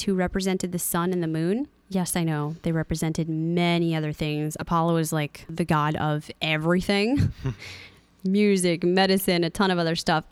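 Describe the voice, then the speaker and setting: A woman's voice: fairly low pitch, smooth, no room sound. A woman co-hosting a podcast, mixing casual chat with a prepared, informative segment full of asides. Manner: informative, reads notes with frequent asides and laughs. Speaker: podcast co-host.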